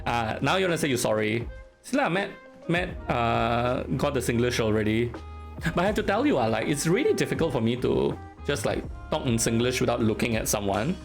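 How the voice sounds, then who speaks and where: A man's voice: medium pitch, sing-song, moderate pace, vocal fry, soft background music. A man from Singapore with a Singaporean English accent, chatting casually on a live stream, teasing, reading chat aloud and laughing often.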